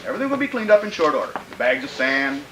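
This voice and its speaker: A sinister, fussy madman in an old radio thriller, his pitch swooping widely as he mutters and repeats himself with creepy politeness. The recording is roomy and clearly noisy. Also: big pitch swings, racing. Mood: eager, unsettling. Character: sinister, gleefully deranged male killer posing as fussy polite employer.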